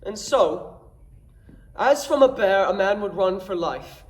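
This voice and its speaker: A man playing an exasperated, mocking Shakespearean manservant in an animated, declamatory comedy monologue. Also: high-pitched, slow, voice pushed up. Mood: alarmed. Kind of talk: theatrical classical comedy monologue.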